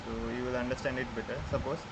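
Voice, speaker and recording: Halting male coding tutor over loud hum. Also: mid-range voice, level tone. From India. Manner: halting, many fillers and restarts. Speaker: programming tutor. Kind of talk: screen-recorded coding lesson. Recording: loud background noise with air conditioning.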